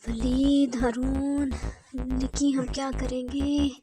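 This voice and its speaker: A woman's voice: sits high, level tone, slow, over music. A woman voicing a scared, whining child in a children's cartoon, pleading and exclaiming. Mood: anxious. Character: frightened, whining child out lost at night.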